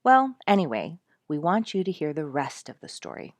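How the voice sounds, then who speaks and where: A woman narrator plays a quiet-spoken storybook father, proud and protective of his family. The delivery is gentle but earnest, in a lowish, dryly recorded voice. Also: sing-song, normal speed, no echo. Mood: emphatic.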